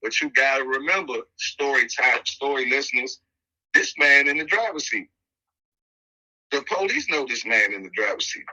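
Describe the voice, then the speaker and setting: A man's voice: medium pitch, expressive, moderate pace, pushed and bright, lo-fi sound. A middle-aged American man telling a personal story on a podcast in casual, slangy street talk, emphatic and acting out the dialogue as he goes.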